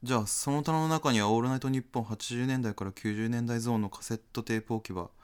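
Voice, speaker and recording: A man's voice: mid-range voice, fast talker, clear, resonant tone, natural voice. A man playing a polite, bewildered struggling actor who talks hesitantly and incredulously in a radio drama. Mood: insistent. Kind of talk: Japanese radio drama acting.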